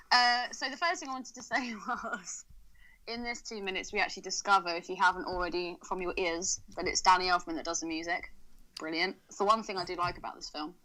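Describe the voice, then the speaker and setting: A woman's voice: mid-range voice, pushed and bright, poor audio. A British woman co-hosting a podcast with cheeky, teasing, sweary banter. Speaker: podcast co-host. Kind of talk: casual banter.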